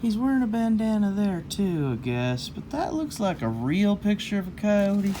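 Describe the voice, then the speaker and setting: A man's voice: high voice, sing-song, normal speed, noisy background. A man reading a children's story aloud in a folksy, rambling way, breaking off for casual, jokey asides. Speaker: host of a children's read-aloud show.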